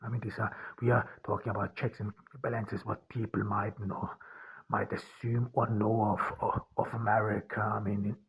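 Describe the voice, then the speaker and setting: A man from Austria, an author speaking in a personal podcast monologue, halting and often repeating and restarting his words. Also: on the low side, flat delivery, a little hiss or hum, rough recording.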